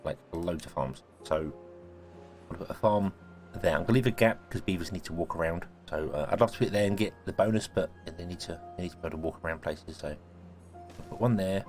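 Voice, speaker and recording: A man, casually thinking aloud as he plays a building game, his voice a little croaky from a cold. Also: low-pitched, fast, a little mellow, soft background music. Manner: thinking aloud, rambling, self-correcting. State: croaky, sneezy voice. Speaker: gaming video host. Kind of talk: casual let's-play commentary.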